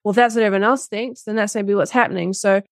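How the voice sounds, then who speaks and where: A woman's voice: medium pitch, steady tone, fast talker, no echo, clean studio sound. A woman in her twenties who grew up in Dubai, a guest co-author talking casually in an interview, searching for her words with many fillers and restarts.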